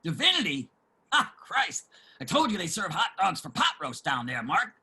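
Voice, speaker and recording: A man playing a streetwise homeless man in a play reading, generous and opinionated, with salty, emphatic street talk and a husky voice. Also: high-pitched, wildly swooping pitch, normal speed, sharp tone, full character voice. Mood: exasperated, boastful. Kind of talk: dramatic table reading of a stage play.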